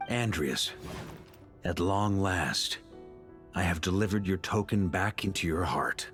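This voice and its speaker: A man's voice: sits low, animated, a little slow. A man playing a bold, wry knight warrior in a video game, with resolute, theatrical delivery. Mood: solemn, reverent. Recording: loud music behind the voice.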